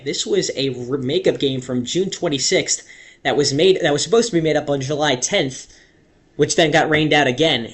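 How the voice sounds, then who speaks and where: A young man calling baseball play-by-play in a radio commentary style, stretching big moments dramatically. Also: on the high side. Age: young adult. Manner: dramatic, drawn-out calls on big plays.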